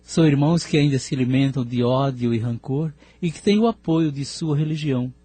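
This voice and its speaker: An actor voices an earnest, compassionate male spirit scholar. The voice is mellow and husky, with a Brazilian accent and formal, reflective audio drama delivery. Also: mid-range voice, brisk, no echo, soft background music, natural voice.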